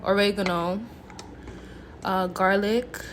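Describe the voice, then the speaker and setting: A woman's voice: medium pitch, steady tone, slow. Woman, chatty home cook, playful and informal, casual recipe walk-through, noticeable background noise. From Haitian background. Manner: chatty, playful, informal, talks to viewers as friends. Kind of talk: casual cooking tutorial voice-over.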